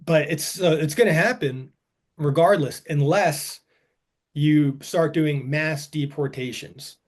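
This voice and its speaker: A man co-hosting a podcast, talking politics in a halting way, often restarting his sentences. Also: a little high. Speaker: podcast co-host. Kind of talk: casual political discussion.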